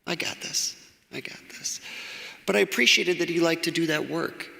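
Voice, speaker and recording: A man's voice: a little high, normal speed, vocal fry, bright, forceful tone. A man in his forties, a grandson sharing rambling, laughing memories in a casual eulogy in a church. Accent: American. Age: 40s. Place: church with long reverb. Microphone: church microphone. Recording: church hall reverb.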